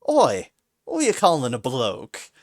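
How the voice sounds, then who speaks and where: A man voicing a blunt, quick-tempered young woman full of slang. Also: mid-range voice, huge pitch swings, heavily acted. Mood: angry. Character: brash rough-talking young woman.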